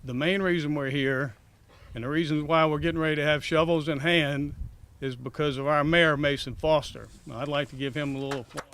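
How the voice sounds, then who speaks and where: An older man giving formal, measured remarks of thanks as a chairman. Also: on the high side, steady tone, background noise. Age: 50s or older. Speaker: airport authority chairman.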